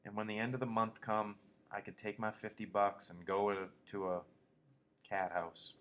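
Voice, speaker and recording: A weary, gruff farmhand speaks in a flat, worn-out way, as a man reads him aloud. He sounds tired and terse, a figure from a spoken story. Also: fairly low pitch, moderate pace, natural voice. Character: weary tough itinerant worker, man, gruff.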